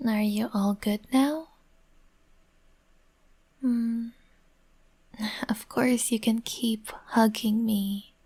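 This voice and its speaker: A woman whispering softly and soothingly, speaking as a comforting partner in a roleplay. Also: on the high side, steady tone, extremely slow, pauses often. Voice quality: breathy.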